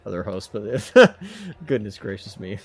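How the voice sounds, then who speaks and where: Rambling, low-voiced man chatting casually. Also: big pitch swings, measured pace, breathy edge. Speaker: podcast co-host. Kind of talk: casual chat.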